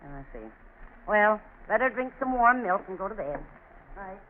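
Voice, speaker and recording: Actress as a brisk, bossy aunt, old radio. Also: female voice, mid-range voice, expressive, natural voice. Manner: brisk, blunt, no-nonsense. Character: brisk, bossy, fearless middle-aged aunt. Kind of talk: 1940s radio acting. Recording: tinny, noisy 1940s radio recording.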